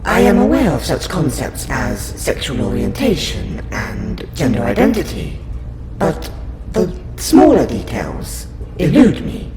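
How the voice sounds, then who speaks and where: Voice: medium pitch, wildly swooping pitch, a little slow. A performer with a woman's voice playing a courteous, curious alien scientist in an audio roleplay, questioning a human in formal, clinical wording. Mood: polite curiosity. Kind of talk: audio roleplay monologue to the listener. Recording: roomy echo under loud music.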